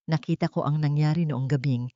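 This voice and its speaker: A woman's voice: deep voice, fairly even intonation, warm tone, no room sound, lightly acted. A woman voicing an old male gardener, grave, sorrowful and protective, in an audiobook drama. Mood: grave, urgent. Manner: grave, deliberate. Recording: dull, dry lo-fi recording.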